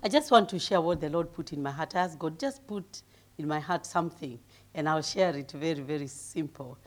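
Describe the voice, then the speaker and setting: A middle-aged woman from Kenya with a deep voice, preaching as a guest speaker, humble and given to laughing, growing loud and emphatic as she reads scripture. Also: a little fast. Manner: grows loud and emphatic when reading scripture. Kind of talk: sermon.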